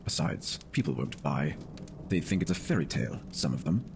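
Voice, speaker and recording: A man playing a grave, middle-aged British sergeant major, slow and reticent, in a dramatic horror story reading. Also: a bass voice, very animated, gravelly, noisy background, exaggerated voice. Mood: grave, reluctant.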